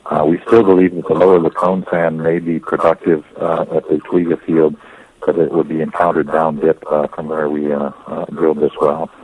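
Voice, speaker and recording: Male corporate executive for an investor briefing: very low, husky voice, halting and matter-of-fact. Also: level tone, no echo, phone-quality sound. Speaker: oil company executive on an investor conference call. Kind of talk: semi-prepared technical briefing to investors.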